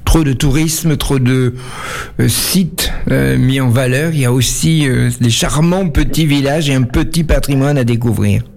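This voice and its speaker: A man's voice: medium pitch, measured pace, creaky, a little mellow. A man hosting a local radio show, reading a news bulletin and then interviewing a guest with some hesitant fillers. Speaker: radio host. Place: radio studio.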